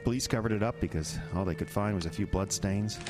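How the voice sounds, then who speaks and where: A man's voice: lowish voice, fast, music in the background, natural voice. An actor playing a defensive, evasive middle-aged man in an audio drama, stammering and dismissive. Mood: uneasy storytelling.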